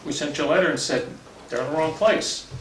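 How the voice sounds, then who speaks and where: A man speaking as a town official in a public meeting, in a halting, deliberate but firm manner. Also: on the high side, fairly bright tone. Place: indoor public meeting room. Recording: distant mic, echoey meeting room, clear background noise.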